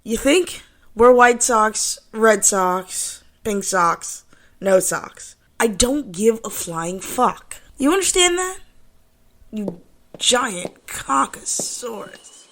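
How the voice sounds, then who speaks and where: An actress playing a fed-up, foul-mouthed pastor's wife in a radio drama. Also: female voice, animated, extremely slow, a bit bright, full character voice. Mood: exasperated. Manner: exasperated, shrill.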